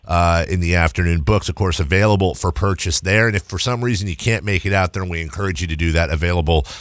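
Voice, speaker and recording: A male sports radio host in his thirties talks casually and effusively into a close studio mic. His voice is low, and the sound is dry and intimate. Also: fairly bright tone, dry recording. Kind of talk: casual radio interview chat. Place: small padded radio studio. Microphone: close studio broadcast mic.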